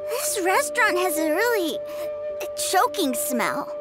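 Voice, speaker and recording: A woman's voice: extremely high pitch, very animated, slow pace, loud music behind the voice, full character voice. A woman voicing a small, innocent, fussy little boy in a video game, earnest and childlike. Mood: fussy, pouting. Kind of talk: animated video game voice acting.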